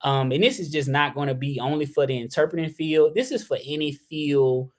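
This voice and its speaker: A man's voice: a little high, steady tone, fairly quick. A young adult man from Memphis with a Southern accent, talking loosely in a casual livestream about his work as an interpreter, full of "like" and "you know". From Memphis, Tennessee, US South. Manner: loose and rambling, with many fillers, "like" and "you know". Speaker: freelance interpreter hosting a livestream.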